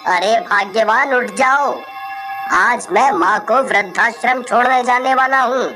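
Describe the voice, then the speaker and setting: A woman's voice: fairly high pitch, unhurried, pushed and bright, faint music behind, voice pushed down. A woman voicing a callous, exasperated adult son and husband who talks curtly, in a storybook tale. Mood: urging. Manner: curt, exasperated.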